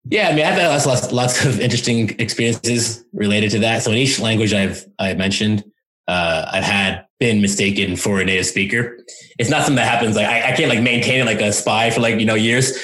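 A man speaking as an interview guest, explaining and telling anecdotes in an informal, animated way with acted-out asides. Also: fairly low pitch, a little fast. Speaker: interview guest, language coach.

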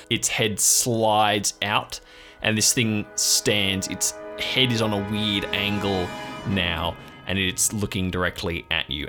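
A man's voice: on the low side, pushed and bright. A man working as game master, improvising vivid, descriptive narration for a tabletop role-play adventure. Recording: music playing behind the voice. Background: music.

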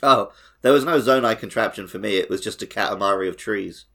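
A man's voice: fairly low pitch, brisk, vocal fry, noisy background. A man co-hosting a gaming podcast, talking casually and conversationally. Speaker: podcast co-host.

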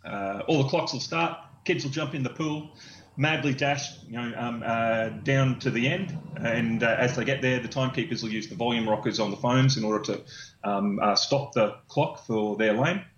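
A man's voice: medium pitch, normal speed, slight background noise. A man explaining a process step by step, with many ums and uhs, in a casual interview. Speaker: guest explaining swim-meet software. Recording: dull, echoey room sound.

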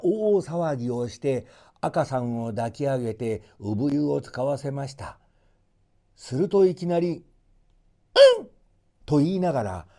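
A man narrating a folktale expressively, with measured storybook phrasing and playful emphasis. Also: a little high, dark tone.

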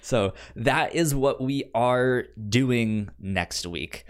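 A man's voice: medium pitch, drawn-out words. A man co-hosting a podcast in casual film discussion, stammering and repeating syllables and words as he talks. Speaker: podcast co-host.

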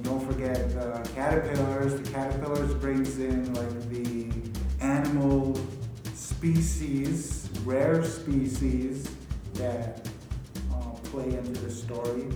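A man giving an unscripted explanation in a halting, pondering way. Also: mid-range voice. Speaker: workshop participant. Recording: echoey video-call audio, music behind.

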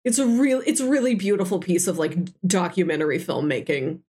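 A woman's voice: lowish voice, expressive, a little fast, mellow. Woman doing a casual film podcast, really enthusiastic, gushy about recommending stuff. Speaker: podcast host. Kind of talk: casual film discussion chat.

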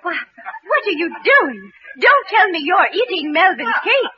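A woman's voice: very high-pitched, big pitch swings, measured pace, full character voice. An actress playing a fussy, indignant lady contest judge with a declamatory manner in an old radio comedy. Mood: scandalized. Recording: muffled old radio recording.

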